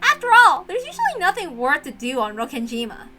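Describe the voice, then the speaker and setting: Energetic teenage tomboy played by a woman reader, high, bright, brash and casual, for visual novel. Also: a little fast, soft background music, heavily acted. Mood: excited, fond.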